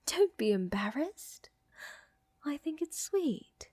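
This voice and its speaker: Lady doing a flirty vampire countess for asmr roleplay, breathy n whispery, slow teasing soft domme, kinda high voice. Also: big pitch swings, dry recording, heavily acted. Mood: amused, affectionate. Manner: slow, teasing, coaxing, formal wording. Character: flirtatious, gently dominant vampire countess, tender lover. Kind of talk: intimate ASMR roleplay acting.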